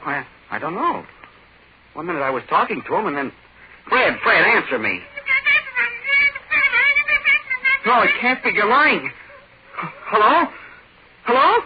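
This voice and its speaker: An actor playing an excitable, nervous middle-aged businessman in 1940s radio drama, stammering and protesting as he talks. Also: high-pitched, normal speed, pauses often, heavily acted. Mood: panicked. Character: excitable bald pot-bellied little businessman, nervous and incredulous. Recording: dull, noisy 1940s radio recording.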